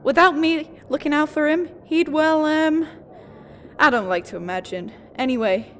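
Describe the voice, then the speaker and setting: A woman playing a kind, gentle, sisterly young receptionist in a fantasy audio drama. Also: sits high, moderate pace, big-room sound, slight background noise. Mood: warm, caring.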